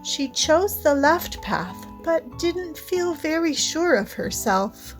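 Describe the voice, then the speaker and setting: A woman narrating a children's storybook read-aloud in a deliberate way, addressing young listeners directly. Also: high voice, sing-song, faint music behind.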